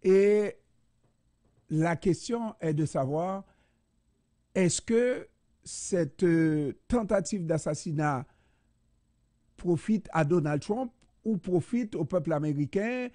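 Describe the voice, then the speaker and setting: A man from Haiti hosts a radio interview, weighing each word slowly with many pauses. His voice is dark and mellow. The sound is dry and close, with little room echo. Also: high voice, expressive. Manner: slow, deliberate, many hesitations. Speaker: radio host.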